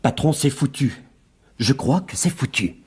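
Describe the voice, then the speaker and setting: An actor in a French radio drama playing a frightened, deferential male accountant, trembling and halting as he speaks. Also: mid-range voice, animated, breathy edge. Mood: panicked, hesitant. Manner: halting, fearful.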